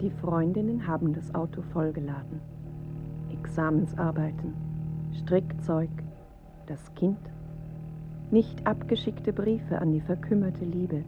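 A woman performing a pensive narrator in a poetic radio drama, speaking in measured, deliberate fragments on a muffled 1980s recording. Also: fairly low pitch, over loud music, natural voice. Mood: wistful, detached. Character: pensive female narrator of a dreamlike inner journey.

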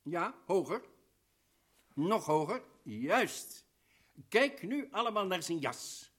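An actor playing a commanding colonel who lays out an accusation forcefully and deliberately. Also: male voice, sits high, very animated, slightly rough, exaggerated voice. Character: commanding male colonel investigator. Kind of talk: old radio drama acting.

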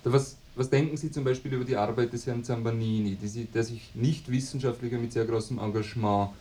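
A man's voice: mid-range voice, steady tone, quick pace, warm tone, slight background noise, lightly acted. A man acting a persistent radio reporter in a mock documentary, formally polite but pressing, often restarting his sentences. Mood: insistent. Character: insistent, probing male radio reporter investigating a mystery.

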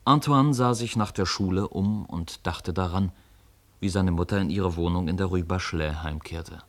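A man with a low voice narrates a radio drama. He tells the story in a measured, literary way, as a scripted reading.

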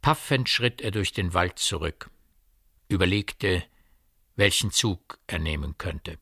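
A man narrating a literary radio drama in a precise, measured and dramatic storytelling manner. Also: low-pitched, gravelly, sharp tone, husky, dry recording, studio quality.

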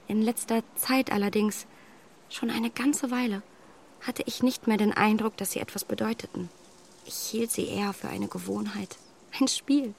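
An actress playing a proud, candid young gentlewoman in a radio drama, polite and articulate as she reproaches herself. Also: a little high, creaky, slight background noise. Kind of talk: German radio drama acting of a classic novel.

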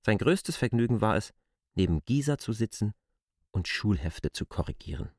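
Lowish-voiced male literary narrator. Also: animated, normal speed, a little mellow, dry recording, clean studio sound. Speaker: narrator in a radio play.

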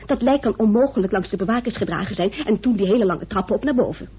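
An actress playing a sharp, insistent woman reasoning out a murder case in a vintage Dutch radio play, with a muffled recording. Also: medium pitch, quick pace, slightly rough. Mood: insistent, brisk.

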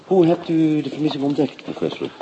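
An actor playing a methodical, dryly superior police inspector in a radio play, with a probing, instructive manner. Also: male voice, fairly high pitch, sing-song, brisk, dull audio, background noise. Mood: polite, dry. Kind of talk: Dutch radio play acting.

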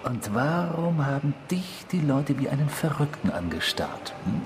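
An actor in a German radio drama playing a mysterious, smug, needling man who claims to be a murderer, with a husky voice. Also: a little high, big pitch swings, a little slow, noisy background. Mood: mocking, familiar. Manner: needling, sardonic, self-assured.

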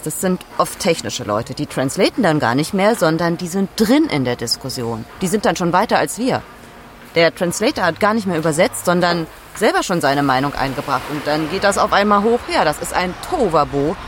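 Exasperated, animated business expat. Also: female voice, on the low side. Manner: conversational venting, peppered with English business words. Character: exasperated German business expat in China recounting endless negotiations. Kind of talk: documentary audio drama monologue.

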